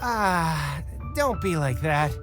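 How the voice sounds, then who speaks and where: An actor playing a smarmy, pushy small-time swindler boss in an anime game, wheedling and theatrical. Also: male voice, fairly high pitch, expressive, slow. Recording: loud music behind.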